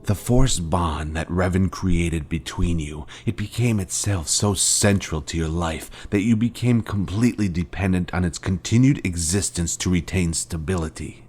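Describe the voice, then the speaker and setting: A man playing a grave former Jedi Master in a video game, speaking in a solemn, deliberate, rhetorical way to his fallen pupil. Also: low voice, darkish tone. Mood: grim, explaining. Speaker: game character. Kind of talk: scripted dramatic video game dialogue.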